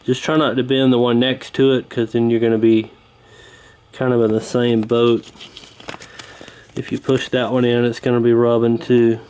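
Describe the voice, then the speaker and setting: A man giving a casual hands-on repair walkthrough, talking in an unhurried, folksy way while he works. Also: mid-range voice, flat delivery, a little mellow, clean tone. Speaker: host of a radio repair channel.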